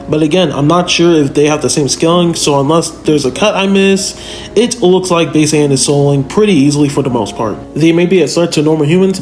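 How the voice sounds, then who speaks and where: Male video commentator, voice a bit on the high side, informal and analytical, conversational direct address, prominent music bed. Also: a little mellow. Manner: conversational, addresses viewers directly with rhetorical questions. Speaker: video narrator, fan power-scaling reviewer. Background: background music.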